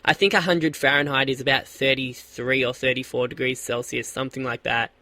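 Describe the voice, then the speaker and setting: A man's voice: medium pitch, steady tone, fairly quick, pushed and bright. A man with an Australian accent hosting a radio interview, asking eager questions and often stumbling over his words. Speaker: radio host.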